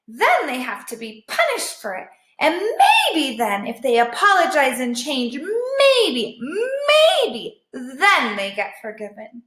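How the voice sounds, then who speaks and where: Woman as small male storybook dog, high-pitched, bitter and indignant, emphatic, echoey room. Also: huge pitch swings, slow, few pauses, a bit bright, exaggerated voice. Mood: disbelieving, stern. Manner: emphatic, incredulous.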